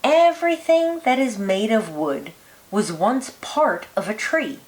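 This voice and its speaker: A woman reading a children's nonfiction picture book aloud like a teacher, with deliberate, lilting emphasis. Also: on the high side, lively intonation, rough recording.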